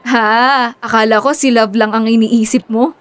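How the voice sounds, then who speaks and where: A woman's voice: on the high side, fairly even intonation, unhurried, close to an everyday voice. A woman acting in a radio drama as a teasing, impatient friend with a wry manner.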